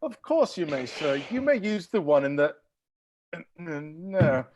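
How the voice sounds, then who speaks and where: A man's voice: a little high, very animated, unhurried, a little mellow, highs cut off. A man playing a polite, flustered butler who stammers as he tries to stay composed, in a comedy mystery table read. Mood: flustered politeness.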